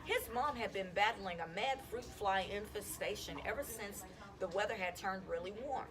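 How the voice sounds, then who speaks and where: A woman reading a children's chapter book aloud in an expressive, storytelling style. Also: mid-range voice, moderate pace, music in the background. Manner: animated, acts out dialogue. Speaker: school counselor reading aloud to students.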